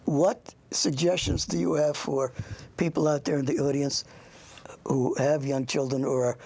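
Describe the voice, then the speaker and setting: Older host, raspy and gravelly, informal interview style, meandering and anecdotal. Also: mid-range voice, steady tone, a little mellow. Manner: rambling, halting, anecdotal. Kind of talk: informal interview with a child guest.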